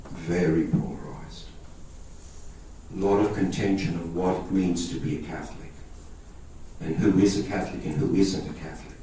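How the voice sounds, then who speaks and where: A man's voice: sits low, darkish tone, distant mic, a little hiss or hum. Greek-born man talking in an echoey hall. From Australia. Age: 50s. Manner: conversational, checks in with listeners. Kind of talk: public talk to a lay audience.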